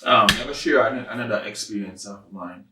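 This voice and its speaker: A man's voice: lowish voice, normal speed, sharp tone. A man with a Jamaican accent hosting a casual podcast, making his points emphatically and repeating words as he builds them. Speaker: podcast host. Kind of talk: casual discussion and storytelling. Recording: echoey room, distant from mic.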